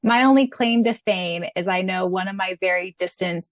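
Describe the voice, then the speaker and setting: A woman's voice: medium pitch, normal speed, no echo. American woman chatting casually, dry close sound. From United States. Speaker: podcast co-host. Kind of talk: casual podcast chat.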